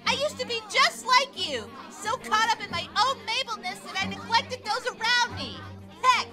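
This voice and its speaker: A woman voicing an exuberant, goofy, melodramatic young girl hero in an animated comic dub. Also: very high-pitched, normal speed, over a noisy crowd. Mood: earnest, determined. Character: excitable, goofy, heroic twelve-year-old girl. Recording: music behind the voice.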